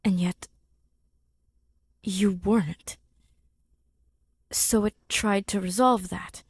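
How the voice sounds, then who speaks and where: A woman playing an excited male scientist in an audiobook, explaining a theory emphatically and thinking aloud in pauses. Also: mid-range voice, breathy edge, no echo, clean studio sound, heavily acted. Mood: earnest, thoughtful.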